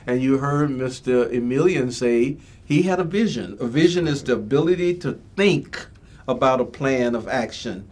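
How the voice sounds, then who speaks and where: A man's voice: mid-range voice, expressive, moderate pace, darkish tone. A man from the Bahamas hosting a motivational talk show, speaking in preachy slogans. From Bimini, Bahamas. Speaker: host.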